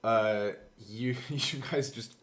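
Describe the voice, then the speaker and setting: A man with an average-pitched voice talks casually as a game master looking back on a show. He explains things in a nerdy, rambling way and swears casually. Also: takes it slowly, fairly bright tone. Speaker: podcast co-host and game master. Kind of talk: casual behind-the-scenes recollection chat.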